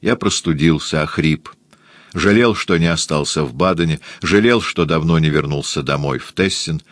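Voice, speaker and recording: A man with a very low voice reads a first-person memoir at an unhurried, deliberate pace. He sounds close and dry, with no room around him. He lifts his voice at the start of new phrases and sentences. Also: dry recording. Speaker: audiobook narrator. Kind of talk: scripted first-person literary reading.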